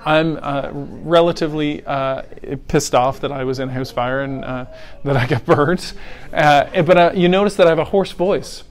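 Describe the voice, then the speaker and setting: A man's voice: fairly high pitch, normal speed. A middle-aged man, a paramedic educator, giving a conversational lecture that walks through scenarios and asks the audience rhetorical questions. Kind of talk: teaching lecture on airway decisions.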